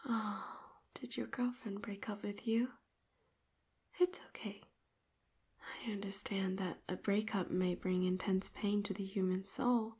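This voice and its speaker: Woman voicing a possessive young robot girl, breathy half-whisper, slow and doting, ASMR roleplay. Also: medium pitch, fairly even intonation, a little mellow. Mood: tender. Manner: doting, speaks to listener as Master. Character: devoted young female robot assistant, possessive. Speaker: voice actor.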